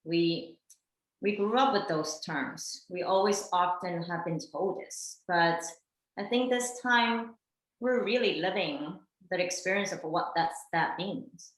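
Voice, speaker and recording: A woman's voice: lowish voice, slow pace. A woman playing a philosophical, reflective character in a casual documentary play, recorded with a roomy echo.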